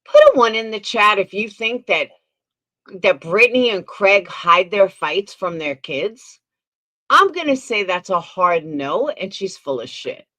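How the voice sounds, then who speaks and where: A woman hosts a livestream in a sarcastic, emphatic way. She speaks slowly, and her pitch swings widely as she presses her points. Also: expressive, a bit bright. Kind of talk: livestream commentary.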